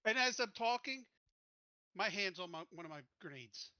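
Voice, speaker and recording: A man with a fairly high, very bright and forceful voice talks casually as a player at a tabletop game. The recording is dry. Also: lively intonation, moderate pace, no room sound, lo-fi sound.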